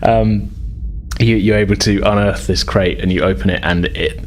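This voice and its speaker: A man's voice: lowish voice, steady tone, moderate pace, a little hiss or hum. A man working as game master, improvising tabletop game narration in a conversational, hedging way.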